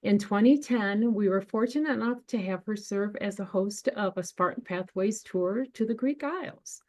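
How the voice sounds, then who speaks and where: A woman's voice: mid-range voice, moderate pace, darkish tone. A woman from an alumni office hosting a webinar, reading a prepared introduction of the speaker over a video call. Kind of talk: scripted introduction.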